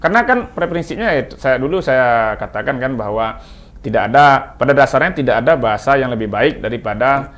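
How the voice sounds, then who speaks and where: A man with a Minangkabau accent speaks as a guest on a talk show, at an average pitch. He explains his views at a measured pace and pauses often on hesitant fillers. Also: expressive, fairly bright tone. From West Sumatra, Indonesia. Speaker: language expert guest.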